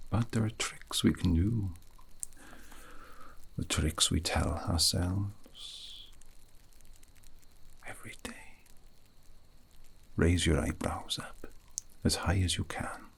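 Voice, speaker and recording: Man acting gentle elven mage, very deep breathy murmur, wistful, slow halting poetic delivery, ASMR fantasy roleplay. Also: heavily acted. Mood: soothing, coaxing. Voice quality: breathy, gravelly. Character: gentle, wistful elven dream mage, wise old shepherd of sorts. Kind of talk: ASMR fantasy roleplay, soothing sleep guidance.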